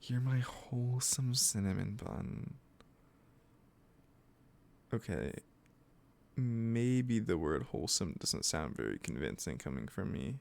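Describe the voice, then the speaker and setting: A man playing an obsessive, doting yandere kidnapper in an intimate roleplay audio, gushing and coaxing with a sweetly menacing edge and halting asides. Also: lowish voice, expressive, creaky, a little mellow, full character voice. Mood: tender, sheepish. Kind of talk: scripted roleplay audio, intimate one-sided dialogue.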